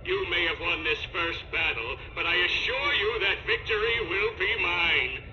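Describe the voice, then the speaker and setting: A man's voice: high voice, unhurried, muffled recording, slight background noise. A man voicing a tiny megalomaniac cartoon villain in a video game, speaking in a pompous, theatrical, menacing manner. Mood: gloating, menacing. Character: tiny megalomaniac villain plotting with robot army.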